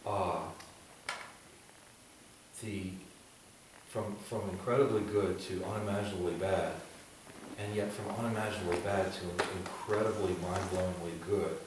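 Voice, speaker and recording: Deep-voiced man in an echoey room. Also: fairly even intonation, a little slow, long pauses. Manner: prays aloud, laughs easily, hesitant restarts. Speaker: host interviewing a guest. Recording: echoey room, distant mic, background noise.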